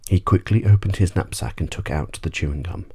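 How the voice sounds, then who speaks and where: A man narrating a bedtime story audiobook in an ASMR style, husky and gently measured. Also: deep voice.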